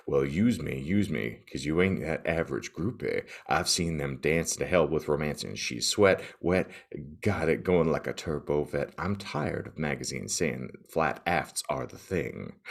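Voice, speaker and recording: A man's voice: very deep voice, vocal fry, heavily acted. A man playing a boastful, smitten rapper in a hammy spoken-word parody.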